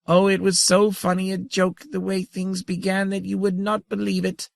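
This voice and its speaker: A man's voice: high voice, monotone, moderate pace, vocal fry, no room sound, voice pushed up. A man voicing a tiny, ancient, giggling female creature who teases and chitters playfully. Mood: gleeful. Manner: tinkling, chittering, teasing.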